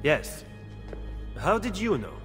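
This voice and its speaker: Formal, animated schemer. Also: male voice, sits high, slow, exaggerated voice. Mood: serious, informative. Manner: formal, businesslike. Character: shrewd Italian political schemer, serious and precise. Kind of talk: video game cutscene acting. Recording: long reverb, faint music behind.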